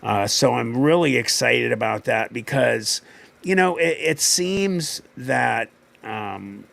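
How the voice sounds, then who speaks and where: A man hosting a casual livestream, talking haltingly and searching for words as he goes. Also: medium pitch, gravelly, a bit bright. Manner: halting, full of fillers and restarts while looking things up. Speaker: host.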